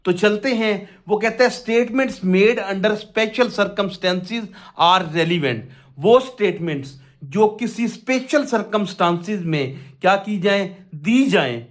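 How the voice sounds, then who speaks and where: Pakistani guy teaching law, pretty high voice, methodical, repeats stuff and asks then answers his own questions. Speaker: law lecturer. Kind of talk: explanatory lesson, reading statute clauses aloud and explaining them.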